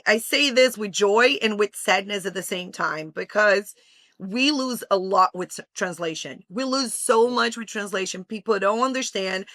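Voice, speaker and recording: A woman's voice: on the high side, bright, forceful tone, dry recording. A woman in her forties from Brazil, talking earnestly and passionately as an interview guest. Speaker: guest, homeschooling mother. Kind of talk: interview conversation.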